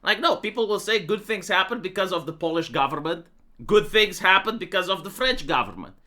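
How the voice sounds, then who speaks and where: Bright-voiced young man with Romanian accent. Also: high voice, animated, brisk. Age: young adult. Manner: opinionated, matter-of-fact, many fillers. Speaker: political commentator. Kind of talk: casual opinion monologue.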